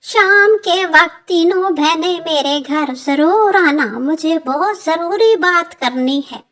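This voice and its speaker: A woman voicing an older, motherly cow aunt in a cartoon story, earnest and gently admonishing. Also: extremely high pitch, takes it slowly, voice pushed up, full character voice. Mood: concerned. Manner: earnest, advising.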